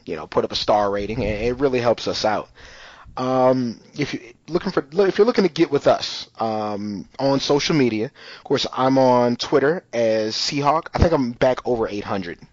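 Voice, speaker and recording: A man's voice: medium pitch, dry recording. Chatty podcast host man, dull mic. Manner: loose and chatty, full of "you know" and "like". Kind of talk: casual podcast chat.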